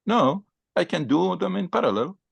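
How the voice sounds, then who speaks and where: A man's voice: high voice, sing-song, moderate pace, gravelly, slightly rough, dry recording, lo-fi sound. A man who teaches religion, answering questions in a study session with patient, step-by-step explanations and frequent fillers.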